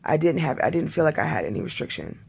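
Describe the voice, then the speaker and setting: An adult vlogger with a hoarse voice, talking in a rambling, candid video diary and clearing their throat often. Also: medium pitch, sing-song, quick pace, a little mellow.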